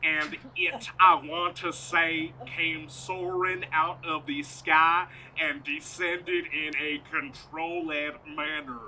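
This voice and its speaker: A man's voice: fairly high pitch, little pitch movement, slow, few pauses, pushed and bright, a little hiss or hum, heavily acted, poor audio. A man playing a folksy, grumbling, chauvinist farmer husband in a comedic stage radio play. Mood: hesitant, earnest. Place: outdoors, parking lot stage. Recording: outdoor live stage performance.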